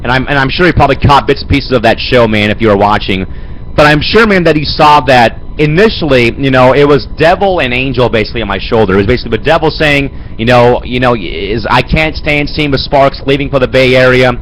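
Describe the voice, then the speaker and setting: A young man with a head cold and a raspy voice, hosting an amateur sports show and rambling with lots of fillers. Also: mid-range voice, fairly quick, fairly bright tone, a little hiss or hum, low-quality recording. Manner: rambling, fills with "man" and "Chris". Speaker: host. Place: home studio.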